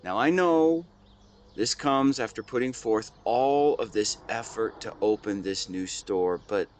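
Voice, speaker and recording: Man acting a low-voiced, weary, devoted husband. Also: a little hiss or hum, close to an everyday voice. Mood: hesitant, pleading. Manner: halting, earnest, intimate delivery. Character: weary, devoted husband and shop owner, tender and grieving. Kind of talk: scripted dramatic monologue addressed to a listener. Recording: clear background ambience with nature sounds.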